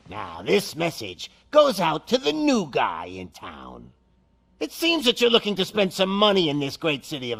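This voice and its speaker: A gravelly, taunting gang boss. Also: male voice, on the high side, a bit bright, heavily acted. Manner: taunting, theatrical, slow drawl. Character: menacing male gang leader hijacking a broadcast. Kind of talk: video game cutscene acting.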